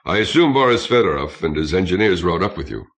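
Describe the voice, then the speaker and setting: A man's voice: sits low, sing-song, fast, a bit bright, exaggerated voice. A man voicing a reserved starship captain in his fifties for an audiobook, speaking formally and courteously. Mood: formal, mildly stern.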